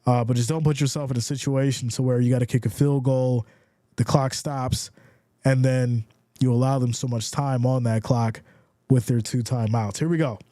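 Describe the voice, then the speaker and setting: A congested man calls football plays on a live stream, switching between play-by-play and easy chat with his viewers. His voice is warm and mellow, slightly stuffed up. The sound is dry and close, with no room around it. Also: mid-range voice, normal speed, no room sound. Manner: casual, reads viewer comments aloud; builds excitement calling plays. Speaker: live stream sports commentator.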